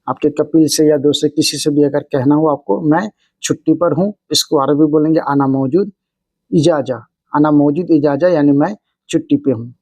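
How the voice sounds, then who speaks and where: Male language tutor, soft mellow tone, slightly high register, explains and repeats examples step by step. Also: no echo. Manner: repetitive, explanatory, phrase-by-phrase delivery. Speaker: language teacher and video host. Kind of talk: instructional lesson with repeated example phrases.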